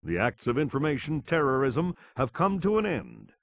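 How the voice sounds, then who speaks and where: An actor playing an earnest television news anchor, formally delivering a scripted crisis report in a game. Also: male voice, medium pitch, big pitch swings, moderate pace, vocal fry, dry recording. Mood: formal, solemn. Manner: formal, grave.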